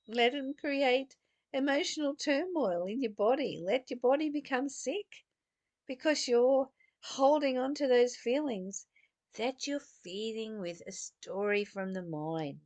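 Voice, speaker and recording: Female meditative teacher, very unhurried, close dry sound, affectionate direct address, short stressed phrases. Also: fairly high pitch, no room sound. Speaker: spiritual teacher. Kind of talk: spiritual teaching talk, intimate and meditative.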